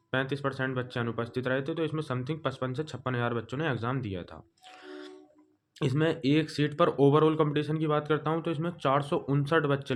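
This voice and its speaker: Male exam coach, mid-pitched. Informal, direct explainer for students, stressing points by repetition. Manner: direct, instructive, repeats figures for emphasis.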